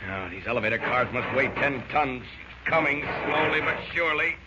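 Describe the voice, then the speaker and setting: An actor playing a heroic, resolute superhero, urgently thinking aloud in 1940s radio serial style. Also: male voice, mid-range voice, animated, normal speed, natural voice. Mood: urgent, determined. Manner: dramatic, urgent self-narration. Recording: noisy, dull old radio recording.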